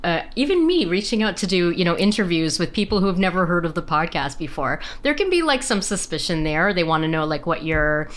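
Lively woman podcast host in her forties. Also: on the low side, fairly quick, hardly any pauses. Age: 40s. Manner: chatty, laughing, expressive. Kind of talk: casual podcast interview.

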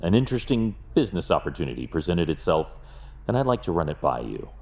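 A man playing a brooding, wealthy vigilante detective in an audio drama, grave and deliberate in his delivery. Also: deep voice, very animated, darkish tone. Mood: businesslike.

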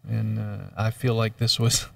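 Gravelly-voiced male co-host, relaxed. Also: fairly low pitch, measured pace, no room sound, distant mic. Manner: relaxed, joking, with many fillers. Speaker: radio show co-host. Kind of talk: casual talk show chat.

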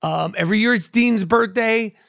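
A man's voice: sits high, normal speed, gravelly, a bit bright, dry recording, clean studio sound. A brash, profane man in his fifties with a New York accent, hosting a casual podcast and making mock demands. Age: 50s. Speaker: podcast host.

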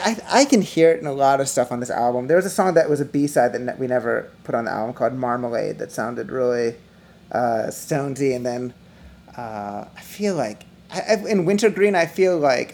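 A middle-aged man, a musician guest, chatting casually on a podcast, rambling with restarts and fillers in a high, slightly whiny voice. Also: darkish tone. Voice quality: self-described high, whiny voice. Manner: rambling, many restarts and fillers, laughs often.

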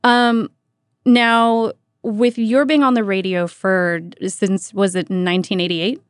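An American woman hosts a relaxed, informal radio interview in a close, dry recording. She talks in a chatty, conversational way, sprinkling her questions with small filler phrases like "you know". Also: mid-range voice, slow, clean tone, no echo. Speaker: radio host interviewing a guest.

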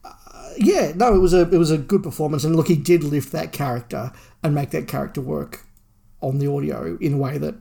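A man's voice: fairly high pitch, fairly quick, gravelly, warm tone. A man co-hosting a podcast, giving opinionated, emphatic views in a casual review discussion.

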